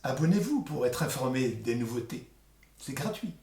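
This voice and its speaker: A man's voice: medium pitch, sing-song, moderate pace, a little mellow. A man telling a folk tale aloud in a theatrical, colloquial oral storytelling style. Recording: echoey room.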